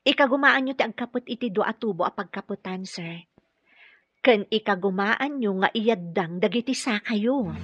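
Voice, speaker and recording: A woman's voice: medium pitch, moderate pace, fairly bright tone, dull audio, close to an everyday voice. A woman with an Ilocano Filipino accent playing a kind, dutiful caregiver in a radio drama, polite and earnest. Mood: encouraging.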